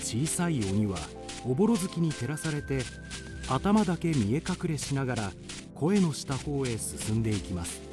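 A man's voice: medium pitch, big pitch swings, normal speed, music in the background. A man narrating a children's radio drama in scripted storybook style.

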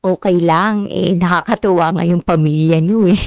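A woman's voice: lowish voice, steady tone, normal speed, a little mellow, dry recording, highs cut off, close to an everyday voice. An actress playing a polite, shy young woman visiting a family in a radio drama. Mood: amused.

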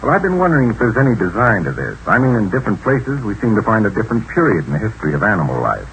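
A steady, probing adventurer with a lowish voice that asks careful questions. Faint hiss of an old radio broadcast. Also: male voice, very fast, exaggerated voice, poor audio. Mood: inquiring. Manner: calm, inquisitive. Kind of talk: 1940s radio acting.

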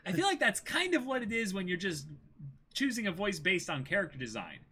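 A man's voice: high voice, very animated, brisk, sharp tone, slight background noise. A man in his twenties chatting casually and animatedly as a co-host on an anime discussion stream, often laughing. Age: 20s. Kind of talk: casual chat.

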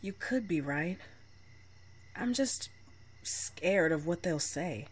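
A lively, swooping voice from a woman actor for an impulsive 1950s tomboy teen in a comic dub. She is casual and slangy, restless and openly expressive. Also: on the low side, slow, husky, exaggerated voice. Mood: downcast, anxious.

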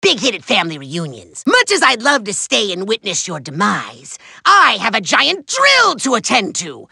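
Shrill pompous little evil overlord for a video game, swoops all over, really forceful and theatrical. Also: male voice, huge pitch swings, dry recording, clean studio sound, full character voice. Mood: smug, theatrical. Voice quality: shrill, gravelly. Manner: bombastic, theatrical, drawn-out emphatic words. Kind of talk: video game villain acting.

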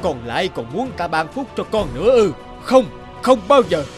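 A man's voice: high voice, sing-song, normal speed. A man acts out an aged emperor of heaven in a cartoon. He is a stern, imperious father, emphatic and commanding, with music in the background. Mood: shocked, disapproving.

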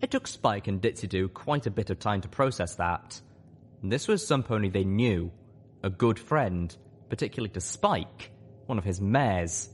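A man's voice: on the low side, animated, normal speed, slight background noise. A man reading scripted first-person fiction as an audiobook narrator.

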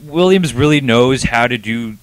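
A young man co-hosting a casual comic chat, quick and joking, speaking into a handheld mic in a small indoor studio. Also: medium pitch, animated, no echo. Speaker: co-host.

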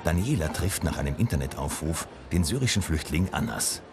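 A man reading a scripted news voice-over in a calm, even-paced delivery. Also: a bass voice, brisk, creaky, over music. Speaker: reporter narrating.

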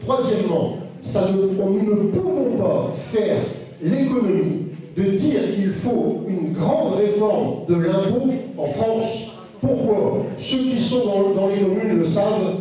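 Older guy doing emphatic political speech, high mellow voice, big echo in the room. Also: lively intonation, takes it slowly. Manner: emphatic, oratorical repetition. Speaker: party speaker. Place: lecture room, handheld microphone, far camera. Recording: very echoey room, distant.

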